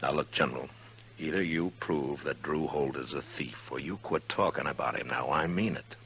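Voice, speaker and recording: An actor playing a laconic American frontier marshal in a 1950s radio western, with a measured, understated but firm manner. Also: male voice, rumbling low, fairly bright tone, a little hiss or hum. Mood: stern warning. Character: stern, laconic frontier town marshal, grown man. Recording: old radio recording, music behind.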